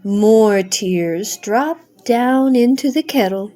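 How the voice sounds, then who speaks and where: A middle-aged or older woman, a grandmother, narrating a children's storybook aloud with simple, expressive phrasing. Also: on the high side, takes it slowly. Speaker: grandmother reading a bedtime story.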